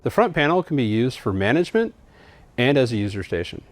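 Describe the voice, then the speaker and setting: A man's voice: medium pitch, very animated, fast, music in the background. A man giving a scripted, methodical product walkthrough in an instructional way, as a trainer would.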